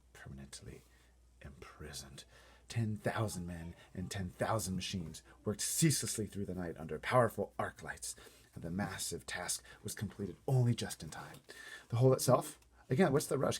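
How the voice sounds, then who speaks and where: A low, slightly husky man's voice reads a storybook with big swings in pitch. He is playful and casual, often stepping out of the narration to muse aloud. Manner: playful, breaks off to wonder aloud. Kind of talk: animated storybook reading with casual asides.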